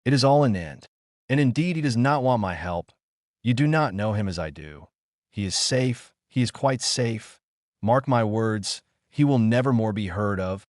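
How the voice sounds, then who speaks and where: Man reading as a feverish, guilt-ridden gentleman doctor. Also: on the low side, lively intonation, moderate pace, dry recording, studio quality, natural voice. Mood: feverish, vowing. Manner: fervent, solemn, formal. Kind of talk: dramatic audiobook character reading.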